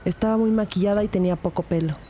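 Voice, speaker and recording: A woman's voice: mid-range voice, fairly even intonation, dark tone, slight background noise, close to an everyday voice. A woman playing a serious, observant police investigator who narrates a crime audio drama in the first person. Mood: grave, narrating. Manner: measured, serious.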